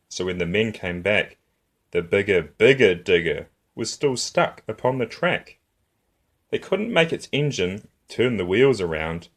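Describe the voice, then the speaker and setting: A man reading a rhyming picture book aloud for young children in an expressive, rhythmic way, as a storytime host. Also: fairly low pitch.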